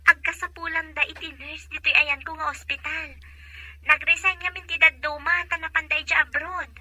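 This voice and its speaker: A woman's voice: high-pitched, level tone, moderate pace, bright, forceful tone, no echo. A woman playing a helpful young friend talking on the phone in a drama. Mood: friendly. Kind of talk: Ilocano drama acting.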